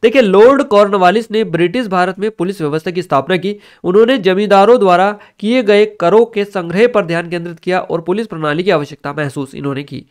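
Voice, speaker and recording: High-pitched man teaching. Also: fast, few pauses. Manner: instructive, repeats key facts for emphasis. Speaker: exam-prep teacher. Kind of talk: explanatory exam-review lecture.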